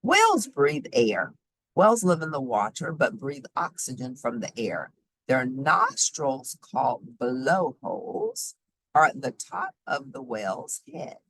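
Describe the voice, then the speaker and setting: A woman reading a children's nonfiction book aloud in an expressive, emphatic, teacherly way, pausing for short explanatory asides. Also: extremely low pitch, breathy edge, no room sound, low-quality recording. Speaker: read-aloud host.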